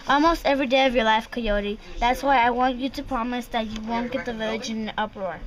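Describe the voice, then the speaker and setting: Bossy folktale bride played by a young girl, high voice, earnest puppet-show read. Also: steady tone, brisk, crowd noise, lightly acted, rough recording. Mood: stern. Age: child, about ten. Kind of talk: reader's theater puppet show.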